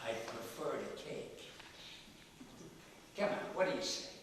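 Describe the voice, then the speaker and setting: A man's voice: medium pitch, lively intonation, takes it slowly. An actor playing a stubborn, feisty ninety-seven-year-old father in a stage play, defiant, wry and emphatic. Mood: reflective. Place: theatre stage, distant microphone. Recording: echoey, noisy stage recording from far off.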